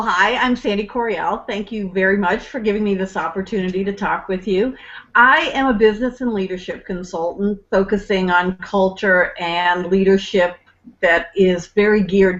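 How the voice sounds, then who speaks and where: Woman guest on a call, polite and measured, middle pitch, muffled lo-fi sound. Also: fairly bright tone. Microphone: video call. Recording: lo-fi online call audio.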